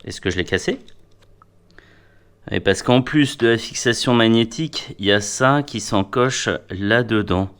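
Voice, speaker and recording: A man with a fairly low voice presents a product in a casual, hands-on way. His delivery is wry and playful, and he often mutters to himself or addresses the gear as he goes. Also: measured pace, fairly bright tone, slight background noise. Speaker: product reviewer.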